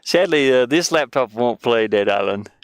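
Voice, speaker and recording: A man chatting casually on a game livestream, rambling and thinking aloud in a halting way. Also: medium pitch, lively intonation, smooth, dry recording, studio quality. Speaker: game streamer and indie game developer.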